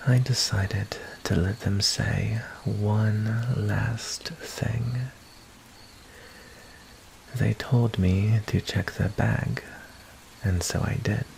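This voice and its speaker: Guy doing a calm menacing vampire for asmr, gravelly n whispery, real drawn out, bit of background noise. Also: on the low side, exaggerated voice, rough recording. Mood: calm, intrigued. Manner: slow, deliberate, hushed delivery slipping into breathy whispers. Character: predatory vampire hunter, calm, menacing, toying with prey. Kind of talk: ASMR narrative roleplay, scripted first-person narration.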